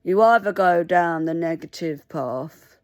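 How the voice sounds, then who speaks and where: A woman whose first language is Mandarin, talking earnestly to camera in a halting, pleading way. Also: lowish voice, dry recording, clean studio sound. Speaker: vlogger. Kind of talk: casual talk to camera.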